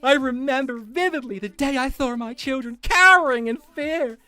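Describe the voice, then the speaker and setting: Man putting on a very high, melodramatic pleading woman. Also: normal speed, pushed and bright, clear, resonant tone, dry recording, full character voice. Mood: fearful, aggrieved. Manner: theatrical, mock-solemn delivery. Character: melodramatic, self-pitying woman pleading for support. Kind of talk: mock dramatic reading.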